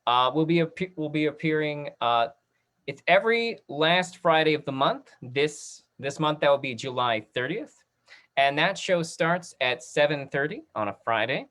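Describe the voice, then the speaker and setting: Halting man hosting an online talkback. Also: on the high side, animated, fairly bright tone. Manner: halting, repeats and restarts phrases, apologetic. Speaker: host moderating an audience Q&A. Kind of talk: informal spoken announcements in a virtual talkback. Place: online video call.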